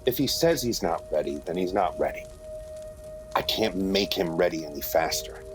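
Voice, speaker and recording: Deep-voiced middle-aged father. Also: lively intonation, normal speed, over music. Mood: firm, patient. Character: patient, protective father and businessman husband. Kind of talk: audio drama dialogue.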